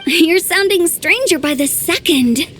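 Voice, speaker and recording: A high, teasing mischievous girl. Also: lively intonation, measured pace, a bit bright, a little hiss or hum, full character voice. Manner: teasing, sly. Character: playful young woman, teasing and mischievous. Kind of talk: game voice acting.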